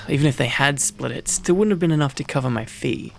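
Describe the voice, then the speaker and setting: A man playing a prickly, easily flustered wolfkin mercenary in an ASMR roleplay, gruff and sardonic, secretly protective. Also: medium pitch, a little fast, a little hiss or hum, natural voice. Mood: irritated, sardonic.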